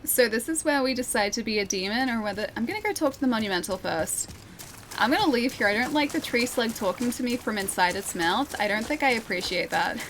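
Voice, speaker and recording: A woman's voice: on the high side, lively intonation, a little fast, fairly bright tone, a little hiss or hum. A young woman from Australia streaming a video game, chatting casually and giggling as she talks to her viewers. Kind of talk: casual live-stream commentary.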